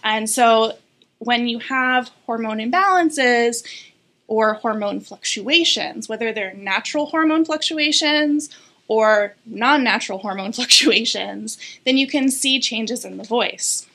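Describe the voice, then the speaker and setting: A woman speech-language pathologist explaining voice and hormones in an interview, steady and informative with frequent ums. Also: fairly high pitch, measured pace. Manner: clear, informative, many ums.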